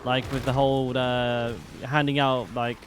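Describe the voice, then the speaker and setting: A man's voice: mid-range voice, flat delivery, a little slow, noisy background. A man streaming a game, chatting casually and rambling with lots of fillers. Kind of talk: casual gameplay commentary. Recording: game music behind voice. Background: game music.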